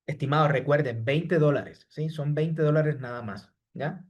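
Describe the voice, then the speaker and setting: A man's voice: on the high side, a little slow, highs cut off. Man answering a live class chat. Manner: repeats "¿sí?" and "estimados" after each point; reads out chat questions and answers students by name. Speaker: online course instructor.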